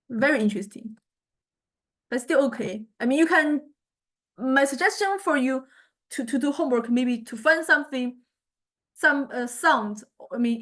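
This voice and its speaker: Higher-voiced woman lecturer, hesitant delivery. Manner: hesitant, thinking aloud while demonstrating. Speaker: music technology lecturer. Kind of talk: informal software demonstration lecture.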